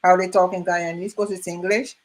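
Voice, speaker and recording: A woman's voice: on the low side, level tone, fairly quick, darkish tone. A woman chatting casually, often laughing and giving short backchannels. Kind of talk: casual chat.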